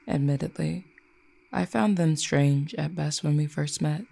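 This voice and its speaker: A performer voicing an ancient fallen cupid in a roleplay audio drama, poised and wry, speaking to the listener in formal, eloquent, measured phrases. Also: mid-range voice, steady tone, vocal fry, warm tone. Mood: fond, reflective.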